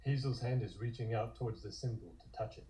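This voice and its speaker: A man reading scripted narration for a comic-book table read in a measured, descriptive way. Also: mid-range voice, mellow, rough recording.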